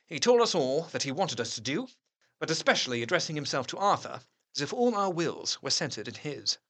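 An actor playing a troubled, sceptical gentleman doctor, narrating his diary in intense, formal literary diction for a gothic drama. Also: a little high, sing-song, fairly quick, heavily acted. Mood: doubting, reflective. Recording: music under the voice. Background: music.

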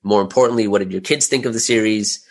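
A man with a fairly low voice talks as a guest in an interview. He explains things in a casual, conversational way. He often doubles back on words and pauses to find the next phrase. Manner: informal, hesitant, often repeating words mid-thought. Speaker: interview guest, audio drama creator and business owner.

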